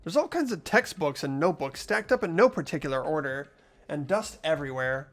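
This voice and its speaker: A person reading visual novel narration and dialogue expressively, voicing an earnest, anxious high school student. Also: lively intonation, a little fast, soft background music. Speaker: player reading a video game's lead role aloud.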